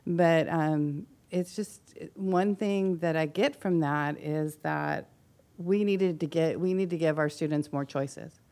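A woman from the audience at a conference session, speaking loudly and earnestly with many restarts. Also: low-pitched, slow pace. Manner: loud, earnest, rambling with restarts. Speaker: audience member. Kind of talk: conference discussion.

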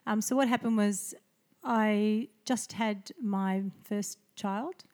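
A woman's voice: mid-range voice, fairly even intonation, slow pace, a little mellow. A middle-aged woman, a local councillor, telling her story in a casual, chatty interview with many fillers and restarts. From Australia.

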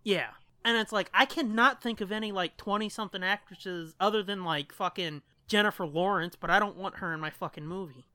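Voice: medium pitch, brisk, hardly any pauses, sharp tone, no echo. Profane podcast co-host, close dry sound. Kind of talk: casual chat.

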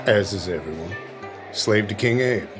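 A man's voice: fairly low pitch, moderate pace, close to an everyday voice. A man acting a casual, wry presidential bodyguard in a period drama, dry and offhand, over music.

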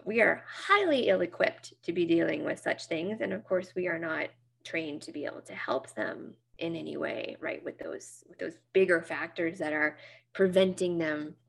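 A woman's voice: fairly low pitch, normal speed, creaky, husky. A middle-aged woman from Nova Scotia with a Canadian accent, speaking earnestly as a therapist guest in an interview, with many fillers and restarts. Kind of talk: interview conversation.

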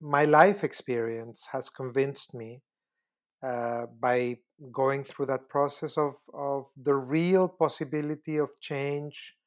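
A man in his fifties from Costa Rica, answering interview questions in a measured, deliberate way with frequent pauses. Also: medium pitch, fairly even intonation. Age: 50s. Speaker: guest, UN human rights expert.

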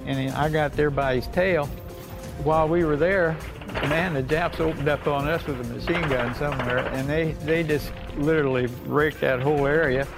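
Old american guy telling war memories, creaky gravelly voice, folksy, music underneath. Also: a little high, fairly even intonation, moderate pace. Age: older. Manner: folksy, plain-spoken. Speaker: World War II veteran recounting memories. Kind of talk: unscripted oral-history reminiscence. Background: music bed.

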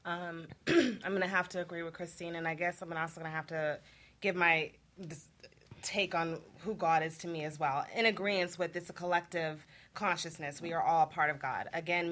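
A woman's voice: low voice, steady tone, moderate pace, fairly bright tone. A woman on a talk show panel, speaking candidly and informally, thinking aloud as she goes.

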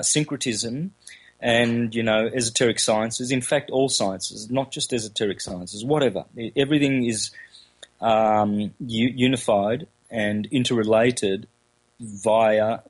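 A man with an Australian accent, first language Calabrian, speaking as an interview guest, halting with many fillers and restarts. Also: mid-range voice, fairly even intonation. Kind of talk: casual interview talk.

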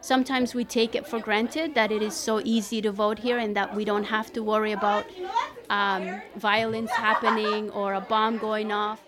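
A woman's voice: mid-range voice, flat delivery, normal speed, very noisy. A woman from El Salvador who moved to Canada as a child, talking conversationally in an interview as a community coordinator. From El Salvador, moved to Canada at eleven.

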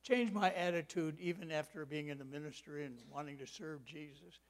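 An elderly man in his eighties delivers a sermon in a slightly raised voice. He leans hard on key words, stretching them out, then slips into quiet, homely asides and personal stories. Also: fairly high pitch, steady tone, a little fast. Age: 80s. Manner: folksy personal storytelling. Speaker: preacher.

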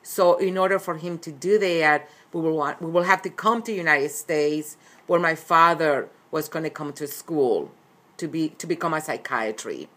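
Interviewee: middle-aged woman, low voice, earnest and repetitive. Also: moderate pace, a bit bright. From Colombia. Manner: earnest, repeats phrases. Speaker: interviewed author. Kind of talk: recorded interview.

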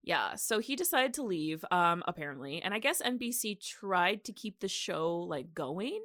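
Low-voiced young woman chatting casually. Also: expressive, normal speed, fairly bright tone, dry recording, clean studio sound. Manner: rambling, heavy use of "like". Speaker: podcast host. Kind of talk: casual podcast chat.

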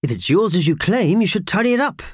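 A man performs a small, bossy uncle creature from a children's storybook. The voice is pitched high and booming, brusque and demanding, with big swoops of intonation. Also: very animated, fast, husky, no room sound, clean studio sound, full character voice. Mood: bossy, critical.

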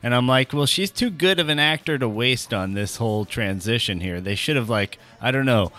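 A man's voice: medium pitch, lively intonation, moderate pace, fairly bright tone. A man co-hosting a podcast, chatting casually in a rambling, conversational way. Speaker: podcast co-host. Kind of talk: casual chat.

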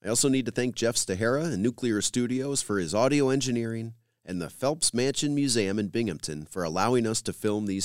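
A man presents a scripted podcast in a measured announcer style. His voice sits a little low and moves up and down with lively intonation. The sound is dry and close, free of room echo. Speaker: podcast host. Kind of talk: scripted podcast host narration.

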